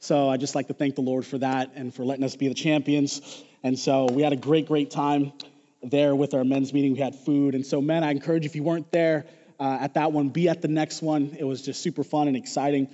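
A hoarse male pastor giving a casual, joking sermon to his congregation in a church. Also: medium pitch, flat delivery, a little fast, dark tone. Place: church sanctuary. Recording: dull echoey church hall recording.

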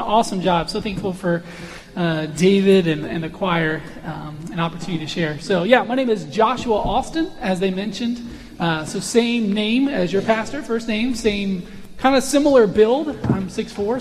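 A young man with an unusually high voice, a visiting college minister opening his sermon in a friendly, self-deprecating, chatty way. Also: moderate pace. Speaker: guest preacher, college minister. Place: church sanctuary. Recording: long church reverb.

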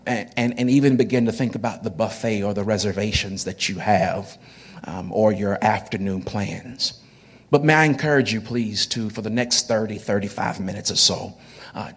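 A man's voice: lowish voice, slightly rough. A man in his forties, a pastor, preaching a sermon in a conversational way and often repeating words as he builds his phrases. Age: 40s.

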